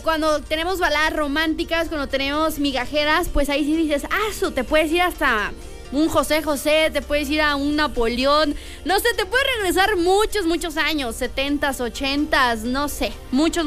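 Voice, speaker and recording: Radio DJ, Mexican woman, high voice, rambling casual patter, faint music under her. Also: fairly bright tone. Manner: rambling, chatty, lots of fillers.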